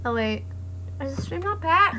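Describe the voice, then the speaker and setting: A young woman streamer with a hoarse voice, chatting casually and reading comments aloud in a rambling, sarcastic way. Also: very high voice, sing-song, normal speed, pushed and bright. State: hoarse after voice acting. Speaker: live streamer.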